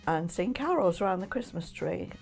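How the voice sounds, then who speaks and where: A woman's voice: lowish voice, sing-song, normal speed, a little mellow, soft background music. An older woman from Nottingham chatting in an encouraging, friendly way. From Nottingham, England.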